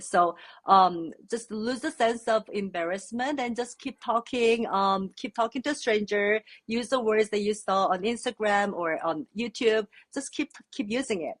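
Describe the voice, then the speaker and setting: A young Korean woman, a native Korean speaker, answering interview questions in a relaxed, thoughtful way with many fillers. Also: medium pitch. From South Korea. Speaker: podcast guest. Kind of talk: casual interview chat.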